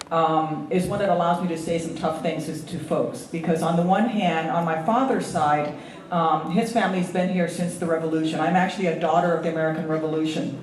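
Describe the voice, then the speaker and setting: A woman with a slight Hawaiian accent speaking on a panel as a federal agency official, earnest and halting, with many ums and restarts. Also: fairly low pitch, reverberant, distant mic, slight background noise, low-quality recording. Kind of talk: panel remarks. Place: event hall at a symposium.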